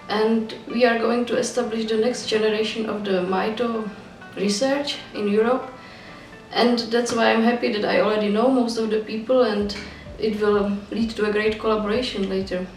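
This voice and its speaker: A woman's voice: on the high side, steady tone, moderate pace, faint music behind. A young woman from the Republic, a PhD researcher speaking earnestly and upbeat in a video testimonial. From Czech Republic. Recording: echoey room, distant mic.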